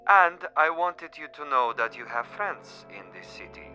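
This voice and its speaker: Sly, bright-voiced, sinister agent. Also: male voice, a little high, big pitch swings, normal speed, soft background music, heavily acted. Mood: sinister courtesy. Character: sly, falsely friendly secret agent, insinuating and polite. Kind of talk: radio drama acting.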